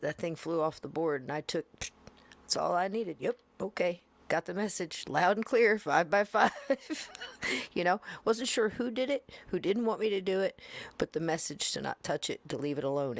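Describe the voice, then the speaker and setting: A woman with a low voice hosts a podcast in relaxed, rambling chat. She drifts between topics and sometimes drops to a hush for effect. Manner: rambling, chatty, with hushed asides. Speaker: podcast host. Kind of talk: casual chat.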